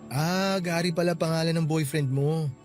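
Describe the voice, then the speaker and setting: A man's voice: a little high, fairly even intonation, moderate pace, darkish tone, soft background music, natural voice. An actor with a Filipino accent playing a friendly, considerate office worker in his late twenties in a radio drama. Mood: friendly, courteous. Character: friendly, considerate office man of twenty-nine, a bit shy.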